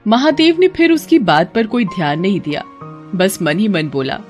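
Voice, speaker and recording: A storyteller narrates a scripted cartoon tale in a mid-pitched voice. Music plays behind the narration. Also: sing-song, normal speed.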